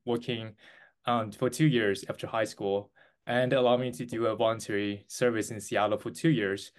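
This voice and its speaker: Earnest young Taiwanese-born man. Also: medium pitch. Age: 20s. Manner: earnest, halting with restarts and fillers. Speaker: guest. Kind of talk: interview answers.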